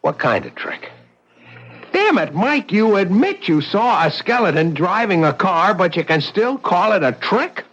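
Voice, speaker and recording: An actor playing a discouraged, frustrated lodge owner in a vintage radio mystery. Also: male voice, sits high, sing-song, unhurried, fairly bright tone, full character voice. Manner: exasperated, ready to give up. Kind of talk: vintage radio drama acting. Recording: dull old radio broadcast.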